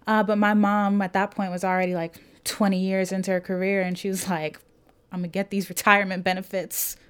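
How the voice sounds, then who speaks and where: Animated young woman from Louisiana. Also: medium pitch, fairly quick, clear, resonant tone. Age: 20s. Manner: animated, emphatic rhetorical questions. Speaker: college student. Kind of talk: casual interview, personal story.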